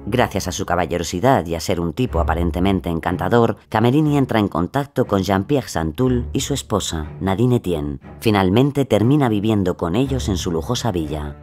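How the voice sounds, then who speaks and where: A man's voice: deep voice, lively intonation, brisk, few pauses, darkish tone. A man narrating a true-crime story from a script. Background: music.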